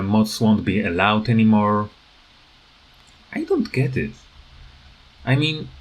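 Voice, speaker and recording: A tired man who is not a native English speaker, giving a casual unscripted rant in a halting, rambling way that repeats words. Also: medium pitch. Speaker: gaming video creator.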